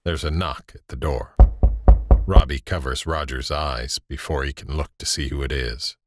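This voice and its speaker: A man narrating scene directions in a scripted audio drama, grave and measured. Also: a bass voice, fairly even intonation, over loud music.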